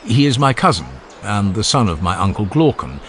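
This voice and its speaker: A man voicing a cultured, proud Athenian gentleman with an assured, persuasive manner in an audiobook dialogue. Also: lowish voice, big pitch swings, moderate pace, a little hiss or hum.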